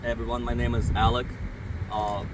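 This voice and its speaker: A young man from Russia with a Russian accent, a car owner answering interview questions in a friendly, hesitant way with many pauses. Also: medium pitch, little pitch movement. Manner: friendly, hesitant, lots of ums. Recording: distant mic, loud street noise.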